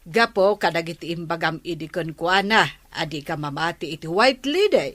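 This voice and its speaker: A woman's voice: lowish voice, expressive, moderate pace, a bit bright, no room sound. Woman acting an opinionated village woman, dry sound. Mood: puzzled. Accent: Ilocano. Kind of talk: Ilocano comedy radio drama acting.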